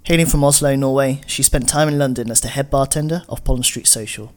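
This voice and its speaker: A man's voice: medium pitch, fairly even intonation, fast. A man hosting a podcast, introducing and interviewing a guest. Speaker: podcast host.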